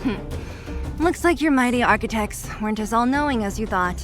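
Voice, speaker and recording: A self-assured young fighter, acted by a woman, speaks in a fairly high voice, blunt and wry. It is game cutscene acting with light music behind. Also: lively intonation, normal speed, natural voice. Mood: grave, wry.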